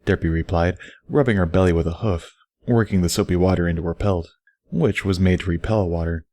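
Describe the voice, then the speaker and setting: A man with a fairly low voice narrates fiction from a script. He works as the reader of an audio story, and the recording is very dry. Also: a little fast, mellow, dry recording.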